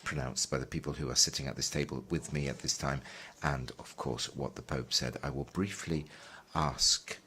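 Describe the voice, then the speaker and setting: A man working as a simultaneous interpreter, relaying a panel talk in a measured way, pausing with fillers as he keeps up. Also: extremely low pitch, fairly even intonation, pushed and bright, a little hiss or hum. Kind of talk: live conference interpretation.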